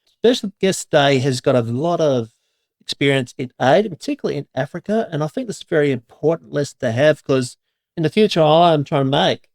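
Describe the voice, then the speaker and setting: A man's voice: on the high side, expressive, fairly quick, a little mellow, no echo. A man hosting a podcast who introduces his guest in a chatty, rambling, enthusiastic way. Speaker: podcast host. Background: music.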